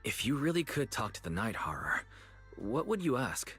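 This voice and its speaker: A man playing a calm, supportive young friend who asks thoughtful questions in a video game. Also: mid-range voice, normal speed. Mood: curious, encouraging. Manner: thoughtful, encouraging. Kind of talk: English video game voice acting. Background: game music.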